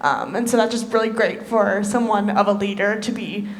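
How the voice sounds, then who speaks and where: A woman with a medium-pitched voice gives spoken critique as a theatre judge. She is conversational, enthusiastic and encouraging. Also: fairly even intonation, normal speed. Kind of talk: informal spoken critique to young performers.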